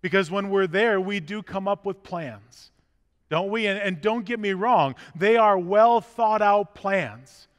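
Man, pastor, middle-aged, high voice, conversational sermon, rhetorical questions, long church reverb. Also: a little slow. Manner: gestures while walking about the chancel. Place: large church sanctuary, indoors.

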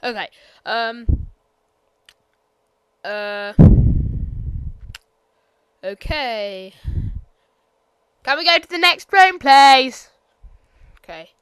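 A woman with a fairly high voice narrates a game tour in a loose, unscripted way. She hesitates often, fills gaps with ums and apologies, and now and then bursts out loudly. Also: animated, drawn-out words, pauses often, smooth, no room sound. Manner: quiet, sometimes bursts out loud. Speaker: gameplay commentator.

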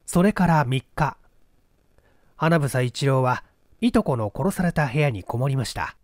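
Animated male mystery audiobook narrator. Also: mid-range voice, a little slow, no room sound, studio quality. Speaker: audiobook reader, stage performer. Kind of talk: dramatic literary narration of a mystery story.

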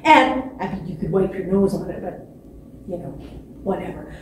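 A woman in her sixties telling a children's story expressively, with dramatic pauses and repetition. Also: lowish voice, lively intonation, darkish tone. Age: 60s. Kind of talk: animated children's storytelling from memory. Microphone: distant camera microphone. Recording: echoey stage, distant mic, faint noise.

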